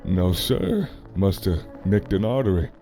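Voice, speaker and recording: A man's voice: deep voice, a little slow, mellow, faint music behind. A man playing a plainspoken, gallant adventurer in a radio play, with a folksy, polite way of talking. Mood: matter-of-fact recollection.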